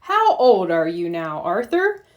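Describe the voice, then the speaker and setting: A kindly male dentist from a children's storybook, read by a woman. He speaks slowly and reassuringly to a young patient. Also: on the low side, huge pitch swings, darkish tone, exaggerated voice.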